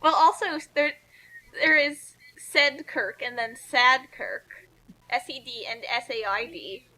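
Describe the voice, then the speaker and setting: A woman's voice: fairly high pitch, takes it slowly, bright, forceful tone, low-quality recording. A woman doing casual, joking group commentary on a story read aloud over an online voice call.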